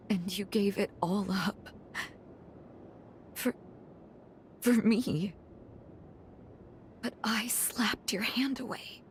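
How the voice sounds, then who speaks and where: An actress playing an anguished, regretful twin sister in a dramatic video game, with halting, emotional delivery. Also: female voice, medium pitch, a little hiss or hum. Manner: halting, emotional, often dropping to hushed tones. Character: guilt-ridden, anguished twin sister, proud but regretful.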